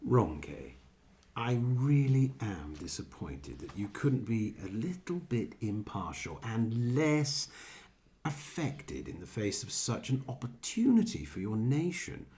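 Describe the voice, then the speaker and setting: A man's voice: mid-range voice, sing-song, takes it slowly, mellow. A man playing a smug, patronising political leader in a satirical radio play, with a glib, pompous manner. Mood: smug, condescending. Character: smug, flippant British male political leader, breezy and patronising.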